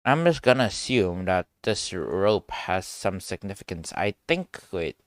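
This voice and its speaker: A man's voice: sits low, very animated, a little slow, no echo, clean studio sound. A man doing casual live reaction commentary as a game streamer, rambling and thinking aloud.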